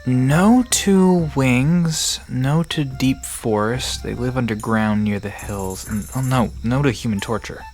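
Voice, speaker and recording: A man's voice: medium pitch, slow pace, few pauses, a little mellow. An actor playing a friendly, knowledgeable young man who explains folklore in an easygoing, reassuring way in an audio drama. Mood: easygoing, explanatory. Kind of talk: conversational audio drama acting. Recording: music and crickets behind voice.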